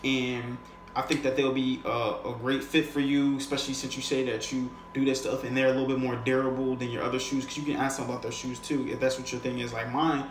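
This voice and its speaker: A man with a mid-pitched voice talks like a sales coach in an unscripted lesson. He is conversational and slangy, often checking that the listener follows. The room is clearly echoey, with faint noise behind him. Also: flat delivery, fast talker. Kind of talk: casual, unscripted instructional talk.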